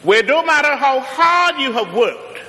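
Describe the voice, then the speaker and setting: A middle-aged man from Trinidad with a Trinidadian accent, preaching a sermon as a guest preacher, slowing down and rising to emphatic peaks. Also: extremely high pitch, very animated, bright, forceful tone. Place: large church. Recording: long church reverb.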